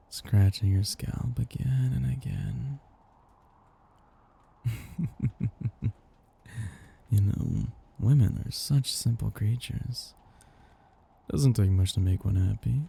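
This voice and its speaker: A man voicing a possessive, teasing vampire master in an intimate roleplay ASMR, with a slow, condescendingly affectionate manner and breathy delivery. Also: full character voice. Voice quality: breathy, low, dark.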